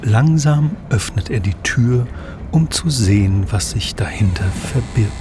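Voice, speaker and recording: A man's voice: mid-range voice, lively intonation, unhurried, music in the background. A man reading scripted narration for an audio play. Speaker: narrator and sound designer.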